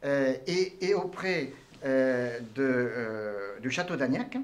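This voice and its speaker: Formal, measured older man. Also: mid-range voice, expressive. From Tunisia. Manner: formal, measured, elaborate phrasing with many hesitations. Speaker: entrepreneur guest. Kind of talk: interview answers.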